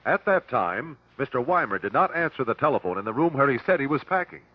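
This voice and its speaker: An actor playing a persistent examiner at an inquest, asking formal, clipped questions in an old radio drama. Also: male voice, medium pitch, very animated, quick pace, husky, no echo. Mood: probing, formal. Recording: dull, dry old radio recording.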